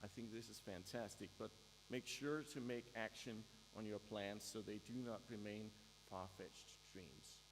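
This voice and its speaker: A man delivers a formal graduation speech in a deliberate manner, pausing for emphasis. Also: fairly even intonation, a little hiss or hum. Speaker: graduation ceremony speaker. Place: large hall at a university ceremony, mains hum behind. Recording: echoey hall, humming low-quality live-stream.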